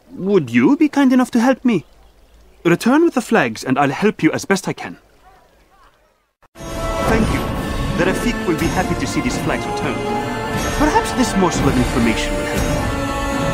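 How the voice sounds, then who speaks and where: An actor playing a weary, polite informant who asks favours in a video game. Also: male voice, high voice, breathy edge, exaggerated voice. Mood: grateful.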